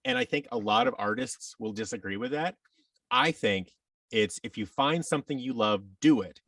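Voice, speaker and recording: A man's voice: mid-range voice, very animated, normal speed, a bit bright, dry recording, clean studio sound, distant mic. A man in his thirties talking casually as an interview guest, rambling and opinionated. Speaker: podcast guest, audio drama producer and actor.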